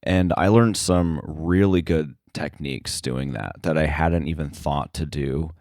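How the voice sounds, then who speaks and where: A man talking casual shop as an interview guest, halting and often circling back to rephrase himself. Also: extremely low pitch, a little mellow, no echo, clean studio sound. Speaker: interview guest, sound effects editor.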